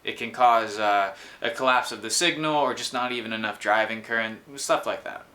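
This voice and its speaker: A man's voice: lowish voice, normal speed, sharp tone. A man in his twenties explaining a technical project informally, as the host of his own video. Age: 20s. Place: small room indoors.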